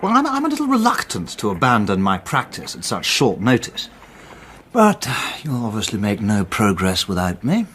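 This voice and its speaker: A man's voice: on the low side, huge pitch swings, moderate pace, fairly bright tone, slight background noise. An actor playing a stuffy, dryly exasperated male barrister who also narrates a radio drama in a formal, slightly pompous way. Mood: dryly reluctant.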